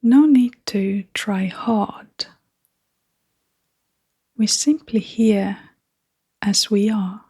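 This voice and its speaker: A woman leading a guided meditation in a soft, half-whispered, breathy voice, with gentle, unhurried phrasing. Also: mid-range voice.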